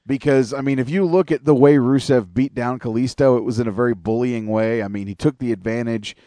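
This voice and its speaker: A podcast co-host rambles through wrestling results, dropping curse words into nearly every sentence. His voice sits at a middling pitch for a man, and it is recorded dry, with no room sound around it. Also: fairly even intonation, brisk, warm tone, dry recording. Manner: profane, opinionated, rambling. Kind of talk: casual wrestling chat.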